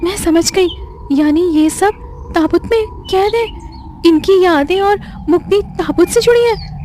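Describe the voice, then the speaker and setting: Woman voicing a very high-pitched, brave young cartoon heroine. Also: measured pace, mellow, a little hiss or hum. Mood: puzzled. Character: brave, earnest young heroine on a rescue quest. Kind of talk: Hindi cartoon moral story acting.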